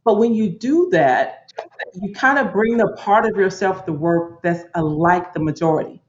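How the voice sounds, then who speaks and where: A woman speaking as a panelist in a reflective, deliberate and earnest way. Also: on the low side, lively intonation. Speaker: panelist, corporate leader. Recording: roomy, muffled lo-fi audio.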